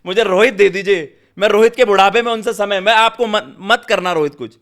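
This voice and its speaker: A man's voice: sits high, fairly quick, fairly bright tone. A man giving opinions as a panelist in a casual cricket debate, colloquial and slangy, mixing in English words. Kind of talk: casual sports debate chat.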